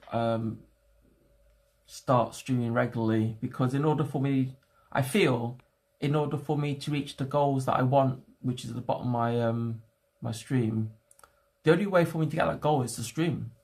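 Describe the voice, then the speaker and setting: Gravelly young man chatting to stream viewers. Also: mid-range voice, a little mellow. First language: a home language other than English. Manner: halting, thinking aloud with many restarts. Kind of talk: casual livestream chat to viewers. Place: at home.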